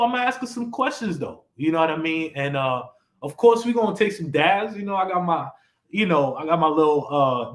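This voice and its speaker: A young man hosting a casual live-stream talk show, rambling and laid-back with lots of fillers. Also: high-pitched. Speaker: podcast host. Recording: echoey room.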